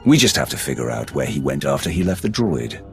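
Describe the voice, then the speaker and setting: A man's voice: extremely low pitch, very animated, brisk, soft background music, heavily acted. An actor playing a curt, commanding male Sith warrior in a game cutscene. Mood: stern.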